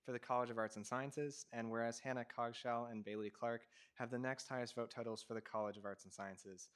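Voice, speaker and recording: A young man reads a formal resolution at a rapid clip. His voice is smooth and clean, with a middling pitch, in the manner of a student senator at a meeting. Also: fairly even intonation, fairly bright tone.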